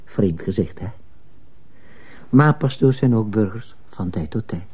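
An actor playing a mild, probing priest in a radio play, gentle and wry, with a dark, husky voice. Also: male voice, fairly low pitch, expressive, a little slow, muffled recording. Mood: wry, polite. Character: mild, probing male priest in plain clothes. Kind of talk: Dutch radio play acting.

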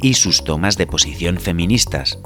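A man's voice: sits low, animated, normal speed, a bit bright, soft background music. A man playing a formal news reporter giving a scripted report in an audio drama. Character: male news reporter outside a theatre.

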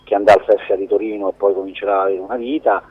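A man speaks as a guest in a radio interview, his voice fairly low and heard over a dull, lo-fi line. He talks conversationally and haltingly, doubling words and letting sentences trail away. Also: steady tone, dry recording. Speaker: film producer, interview guest.

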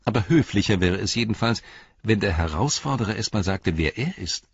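A man's voice: fairly low pitch, lively intonation, fairly quick, slightly rough, dry recording, dull audio, pitched above the performer's own voice. Man doing a cheeky teasing halfling. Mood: friendly. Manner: playful, teasing bravado. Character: cheeky small male halfling, good-humored.

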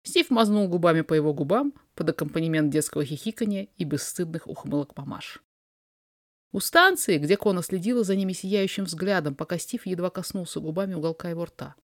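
Expressive fiction narrator, very dry close recording. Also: mid-range voice, a little fast, no room sound. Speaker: podfic reader, narrator.

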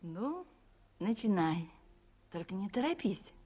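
A woman's voice: mid-range voice, sing-song, slow. A woman playing a patient, affectionate mother instructing her young son in a radio play. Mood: patient, instructive. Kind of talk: 1970s radio play acting.

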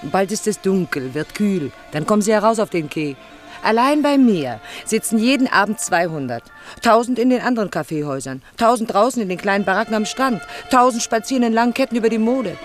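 An actress playing a practical, chatty Greek coffeehouse proprietress in a German radio play, blunt and matter-of-fact. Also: female voice, mid-range voice, lively intonation, brisk, soft background music, natural voice. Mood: brisk, insistent.

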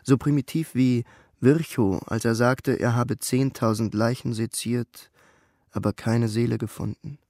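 A man's voice: medium pitch, steady tone, a little slow, darkish tone, no echo, studio quality. A man giving a scripted dramatic reading of a radio play, narration and stage directions included.

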